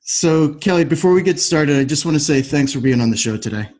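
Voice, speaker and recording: A man's voice: a little high, animated, a little fast, darkish tone. A man speaking as the host of a podcast interview.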